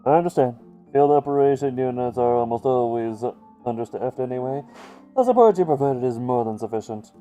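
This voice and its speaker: A composed, courteous company man from a game, acted by a man, speaks in formal, measured lines. His voice is smooth and mellow, slightly dull in sound, with music behind it. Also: medium pitch, expressive, close to an everyday voice. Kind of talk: scripted game dialogue read aloud.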